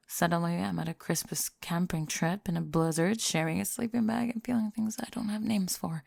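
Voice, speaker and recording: A woman playing a prickly but secretly affectionate tomboy friend in an ASMR roleplay, sleepy and often whispering, deadpan and grudgingly tender. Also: lowish voice, creaky, no room sound, pitched below the performer's own voice. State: drowsy. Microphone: close ASMR microphone.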